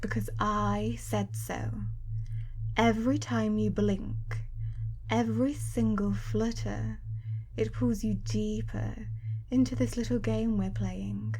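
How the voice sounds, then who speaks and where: A young woman playing a teasing, controlling hypnotist in an ASMR roleplay, speaking softly and breathily, often close to a whisper. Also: mid-range voice, steady tone, a little hiss or hum. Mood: soothing, commanding. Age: young adult. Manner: soft, breathy, often near-whispered, drawn-out delivery.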